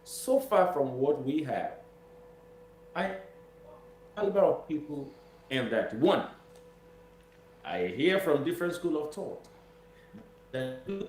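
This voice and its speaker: A man's voice: on the high side, sing-song, slow pace, long pauses, a little mellow. A man with a Nigerian accent giving political commentary as an interview guest, emphatic and repeating phrases for stress. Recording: roomy echo with noise and hum.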